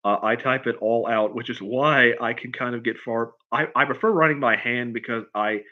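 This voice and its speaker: A man chatting casually on a livestream as a writer answering questions, in a halting, rambling way, often repeating and restarting his phrases. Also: medium pitch, highs cut off. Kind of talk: casual unscripted chat, answering viewer questions.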